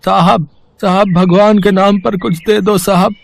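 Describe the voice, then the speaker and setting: A man playing a poor, humble, kind young beggar in a storybook tale, with a gentle, pleading, deferential manner. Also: high voice, flat delivery, a little slow, creaky, a little hiss or hum. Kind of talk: Hindi storybook character dialogue.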